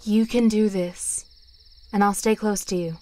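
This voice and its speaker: An actress playing an experienced witch in an audio drama, reassuring and gently knowing. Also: mid-range voice, fairly even intonation, a little slow, a little hiss or hum, close to an everyday voice. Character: calm, protective, experienced woman witch.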